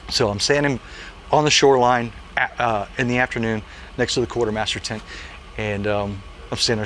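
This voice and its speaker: A man in his late forties speaks at a middle pitch, sharing stories about parachuting in an earnest, conversational way. He leans on "you know" fillers. Faint ambient noise sits behind him outdoors. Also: fairly bright tone. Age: late 40s. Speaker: military parachute jumpmaster. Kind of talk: casual interview answer.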